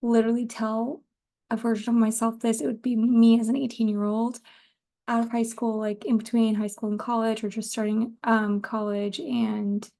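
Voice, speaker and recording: Mellow, higher-pitched woman chatting casually. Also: flat delivery, normal speed, vocal fry. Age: 30s. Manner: informal, rambling, laughs easily, occasional swearing. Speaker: podcast host. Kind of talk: casual solo podcast chat.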